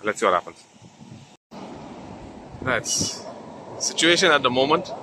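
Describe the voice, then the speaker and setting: A man's voice: mid-range voice, unhurried. A middle-aged man with a South African accent, chatting casually and rambling as he films his fishing trip. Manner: relaxed, rambling, filler-heavy. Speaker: fishing vlogger. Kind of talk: casual vlog narration. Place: open beach by the surf. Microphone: handheld camera mic. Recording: windy beach with loud surf.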